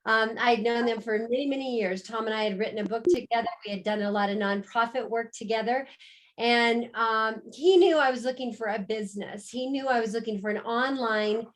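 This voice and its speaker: A middle-aged American woman, the co-host, tells her own story on a video call in a warm, upbeat sales style. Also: on the high side, steady tone, a little fast. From United States. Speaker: co-host and business presenter. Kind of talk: business pitch on a video call.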